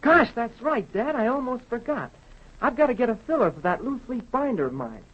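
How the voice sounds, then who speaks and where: An actor playing an eager, polite schoolboy son in a 1930s radio drama, with an earnest boyish manner. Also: male voice, extremely high pitch, sing-song, fairly quick, heavily acted. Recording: dull, worn 1930s radio recording.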